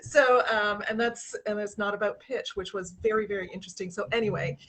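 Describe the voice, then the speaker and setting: A woman hosting a casual video-call interview, laughing often and restarting her sentences, with a relatively low voice. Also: fairly quick, a bit bright, low-quality recording. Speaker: host.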